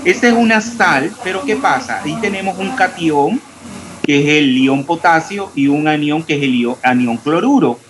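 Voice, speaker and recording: A man teaching chemistry in an online class, quizzing his students and correcting their answers. He sounds out every consonant, even the final s, and his voice sits a little high for a man. A faint hiss runs under the recording. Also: normal speed. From Panama. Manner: stresses clear diction, pronounces every s.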